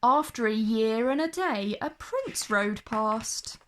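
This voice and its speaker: A woman reading a scripted story aloud for children, in an expressive, deliberate storytelling style. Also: a little high, a little hiss or hum.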